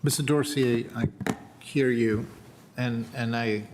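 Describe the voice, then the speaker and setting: A man's voice: medium pitch, steady tone, takes it slowly, background noise. An American man on a county board, speaking earnestly at a public hearing with many uhs and repeated words.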